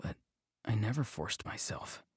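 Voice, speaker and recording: A man's voice: lowish voice, expressive, measured pace, slightly rough, big-room sound, heavily acted. An actor playing a subdued, wistful young male farmer in a video game, with a hesitant, downbeat manner. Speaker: game character. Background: music.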